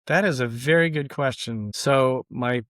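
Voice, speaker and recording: Smooth, clear-voiced American man. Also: medium pitch, measured pace, a bit bright, no echo, clean studio sound. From born in Lithuania, grew up in Russia and the US Midwest. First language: Yiddish. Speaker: interview guest, company president. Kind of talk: casual chat.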